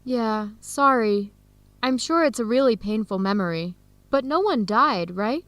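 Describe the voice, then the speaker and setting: An actress playing a hopeful, sincere young woman in an educational radio drama, phrasing her words deliberately. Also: on the high side, lively intonation, fairly bright tone, no echo. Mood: concerned. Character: hopeful young woman adoptee searching for her birth family.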